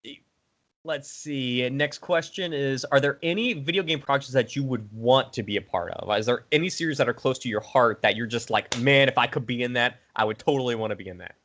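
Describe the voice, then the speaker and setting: A man's voice: mid-range voice, a little fast, a bit bright. Informal male interviewer, mid-range voice. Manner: informal, slangy, fannish. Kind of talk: casual interview chat.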